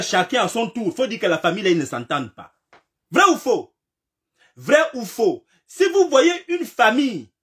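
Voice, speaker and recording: A man with a fairly high voice gives a fiery political commentary. His pitch swings widely as he repeats phrases, piles up rhetorical questions and sometimes shouts. He has a slight cold. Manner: emphatic, repetitive, rhetorical questions, rising to shouts.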